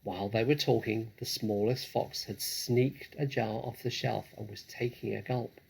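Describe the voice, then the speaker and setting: A man reading a children's story aloud as an expressive narrator, with deliberate, dramatic phrasing. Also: fairly low pitch, creaky, slightly rough, rough recording. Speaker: story reader.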